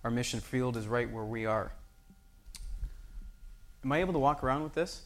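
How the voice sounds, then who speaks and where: A man's voice: medium pitch, moderate pace. Brief: male youth leader, easygoing and friendly with kids, mid-range voice, shifts to prayer. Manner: friendly, chatty with children, then solemn in prayer. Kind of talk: church talk and prayer.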